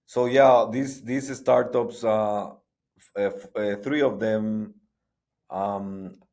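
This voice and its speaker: A middle-aged man from Guatemala, speaking as a podcast guest in halting, restarting sentences. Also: fairly low pitch, fairly even intonation, darkish tone. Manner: halting, frequent restarts and repetitions. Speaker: guest, sports entrepreneur. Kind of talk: conversational interview.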